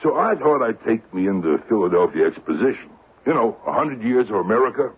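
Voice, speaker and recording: Sly new safecracker, husky, swingy pitch, folksy radio play crook on a muffled old recording. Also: male voice, very animated, quick pace. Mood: folksy, scheming. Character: big-time middle-aged New York safecracker, sly and folksy. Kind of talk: old-time radio drama acting. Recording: muffled, narrow-band vintage radio recording.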